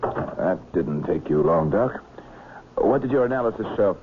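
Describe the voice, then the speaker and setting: An actor playing a measured magistrate in a radio mystery, asking clipped, understated questions with dry calm. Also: male voice, low voice. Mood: businesslike, dry. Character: measured, dryly probing male island magistrate investigating a death. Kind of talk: 1970s radio mystery acting. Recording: muffled phone-like old radio, faint noise.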